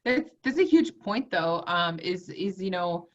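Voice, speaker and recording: A woman's voice: lowish voice, animated, measured pace. A woman hosting a video interview series, enthusiastic and a bit rambling as she chats with her guest. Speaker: host. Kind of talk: interview conversation. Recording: dull lo-fi sound.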